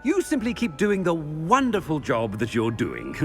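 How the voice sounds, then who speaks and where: An actor playing a pompous, irritable British headmaster in a video game, blustering and haughty. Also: male voice, on the high side, huge pitch swings, moderate pace, a bit bright, soft background music. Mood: flustered, evasive. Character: pompous, irritable male headmaster, flustered and imperious. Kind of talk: video game character acting.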